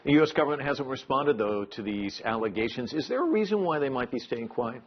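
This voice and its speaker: A man's voice: mid-range voice, expressive, fairly quick, husky, muffled recording. A man presenting broadcast news as an anchor, reading and putting questions in a formal on-air style.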